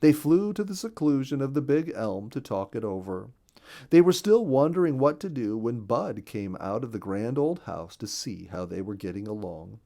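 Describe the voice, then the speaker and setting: Expressive, mellow-voiced male storyteller. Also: mid-range voice, normal speed, vocal fry. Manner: gentle, expressive reading with a lot of rise and fall. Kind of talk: scripted storybook narration for children.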